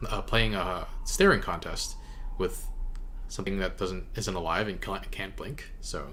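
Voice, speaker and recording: A man hosting a reaction video, giving casual commentary in a halting way as he thinks aloud. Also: sits low, pushed and bright, slight background noise.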